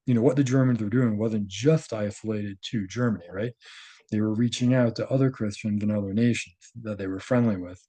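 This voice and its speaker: Mellow-voiced man reading aloud with asides. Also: on the low side. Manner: halting, self-correcting, often checks with "right?". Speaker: host reading and commenting on a translated article.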